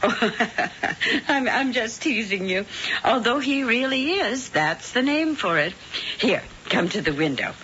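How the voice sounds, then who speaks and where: A woman's voice: a little high, lively intonation, takes it slowly, sharp tone, heavily acted. Sugary scheming witch. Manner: sugary and falsely welcoming. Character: scheming middle-aged witch posing as landlady. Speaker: Missus Warlock. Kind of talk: 1970s radio drama acting. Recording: dull old radio broadcast with faint hiss.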